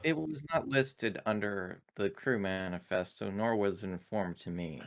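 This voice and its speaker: A man roleplaying as an android in a tabletop game, speaking in formal, precise, deadpan wording. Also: on the low side, a little slow, creaky.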